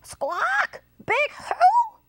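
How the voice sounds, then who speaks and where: A woman voicing an excitable, alarmed male parrot in a storybook, shrill and full of squawks. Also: drawn-out words, a little hiss or hum, heavily acted. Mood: startled. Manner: exclamatory, punctuated with squawks.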